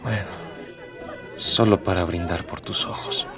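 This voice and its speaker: A man's voice: deep voice, a little slow, far from the mic, music in the background. An actor with a Mexican accent playing a humble everyman hero in a radio drama, talking colloquially and a bit hesitantly. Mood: flirtatious. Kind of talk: scripted radio drama acting.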